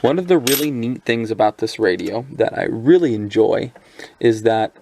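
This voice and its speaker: A man's voice: medium pitch, expressive, normal speed, darkish tone, no echo. A man giving a casual hands-on review of a handheld radio, talking loosely with lots of ums and restarts. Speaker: product reviewer.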